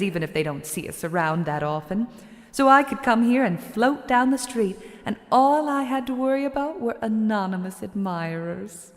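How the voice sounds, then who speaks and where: Woman acting vain female shadow-spirit merchant, mid-pitched, condescending, boastful, theatrical game character, long reverberant tail. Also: natural voice. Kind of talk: dramatic video game voice acting.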